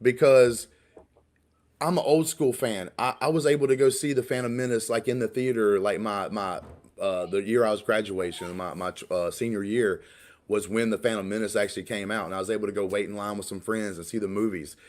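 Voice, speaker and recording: Podcast co-host, man, late 30s, lowish voice, rambling and full of restarts. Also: fairly even intonation, brisk, a little mellow. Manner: rambling, repeats words, stumbles and restarts. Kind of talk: casual podcast conversation.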